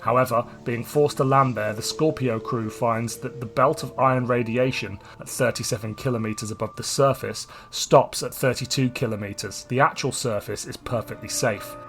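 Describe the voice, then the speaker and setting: A man's voice: medium pitch, fairly even intonation, moderate pace, hardly any pauses, fairly bright tone. Man hosting a podcast over music. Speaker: podcast host. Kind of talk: scripted plot synopsis and casual podcast chat.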